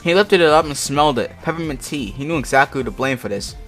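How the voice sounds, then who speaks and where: A man's voice: mid-range voice, animated, fast. Sweary man reading aloud over music. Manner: sweary, mocking commentary, stumbling over the text. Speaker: amateur fan fiction reader. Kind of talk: casual read-aloud of a story with asides. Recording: music playing behind the voice. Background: background music.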